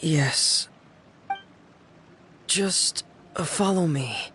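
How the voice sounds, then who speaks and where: A woman playing a shy, withdrawn teenage younger brother in a video game, halting and timid. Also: low voice, noisy background. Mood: reluctant. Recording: music clearly behind the voice.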